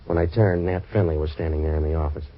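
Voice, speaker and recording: An actor playing a wisecracking waterfront boat-renter turned private eye, narrating in hard-boiled first person. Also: male voice, extremely low pitch, steady tone, fast talker. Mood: wry. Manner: dry, sardonic wisecracks. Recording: dull old radio recording with faint hiss.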